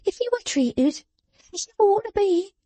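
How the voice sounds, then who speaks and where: Woman voicing a scolding girl, bossy, sharp, snapping, high lively voice, dry sound. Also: a little slow, no echo, heavily acted.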